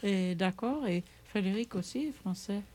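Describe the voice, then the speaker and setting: Lady radio host, mellow darkish voice, chatty and encouraging with her guest. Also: medium pitch, normal speed. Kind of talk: casual radio interview.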